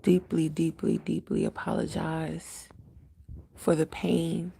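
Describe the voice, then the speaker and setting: A woman with a raspy, cracking voice, working as a spiritual medium and leading an earnest prayer on a livestream. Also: deep voice, wildly swooping pitch, slow pace, dark tone, a little hiss or hum. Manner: earnest, prayerful, repeats invocations.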